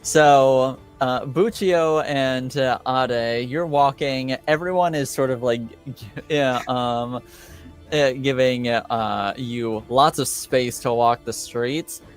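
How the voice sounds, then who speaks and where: A man acting as game master, casually improvising narration for a tabletop game in a halting, hesitant way. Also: medium pitch, faint music behind.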